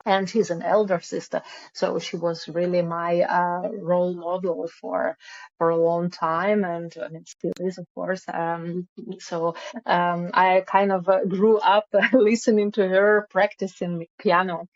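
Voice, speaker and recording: A woman, speaking as an interview guest in a halting way with many fillers. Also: fairly low pitch, little pitch movement, dry recording. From Russia. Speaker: guest, music founder. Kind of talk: casual interview. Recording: dull lo-fi call audio.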